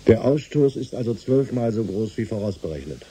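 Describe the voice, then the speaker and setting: A man's voice: lowish voice, expressive, brisk, warm tone, slightly rough, rough recording. A man playing a sober, concerned physicist in a German science fiction radio drama, with a formal, probing manner. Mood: measured. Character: sober male doctor of physics, concerned and measured.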